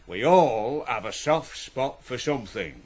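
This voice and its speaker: Bossy farm master, radio drama: mid-pitched, very animated intonation, paternal, exasperated, sententious. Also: male voice, measured pace. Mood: paternal, chiding. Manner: commanding, sententious. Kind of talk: 1980s radio drama acting.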